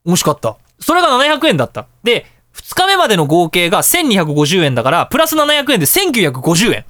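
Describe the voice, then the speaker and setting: A man hosting a radio show, chatting casually and telling anecdotes in an animated way, with exclamations and sound-effect words. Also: high-pitched, fast, no room sound, clean studio sound.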